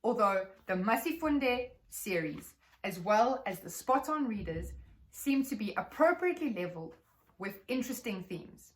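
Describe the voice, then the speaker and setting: A South African woman giving an instructional talk to teachers as a trainer, explaining in a measured, articulate way. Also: fairly high pitch.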